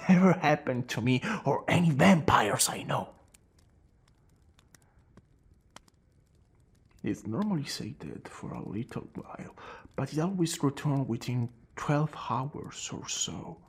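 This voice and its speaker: A man playing a courteous, calm and wry centuries-old vampire in an ASMR roleplay, speaking in a hushed voice that drifts into whispers with theatrical pauses. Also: medium pitch, vocal fry, a little hiss or hum. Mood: explaining, guarded. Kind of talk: ASMR roleplay addressed to the listener.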